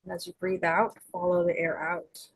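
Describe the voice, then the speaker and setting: A woman leading a guided meditation as its guide, speaking in gentle, soothing invitations with long pauses. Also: on the low side, little pitch movement, husky, poor audio.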